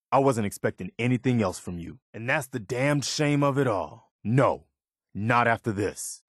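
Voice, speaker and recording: An actor playing an earnest, principled militia officer in a video game, sincere and plain-spoken, loyal yet easily disappointed. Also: male voice, fairly low pitch, no echo, clean studio sound, natural voice. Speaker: video game companion character.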